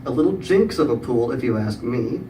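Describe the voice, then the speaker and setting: A man's voice: mid-range voice, animated, moderate pace, warm tone. Echoey, distant recording of a wry male storyteller. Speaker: storyteller at a live storytelling event. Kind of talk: live humorous storytelling, prepared script. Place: live event room with audience. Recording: echoey room, distant mic, clear background noise.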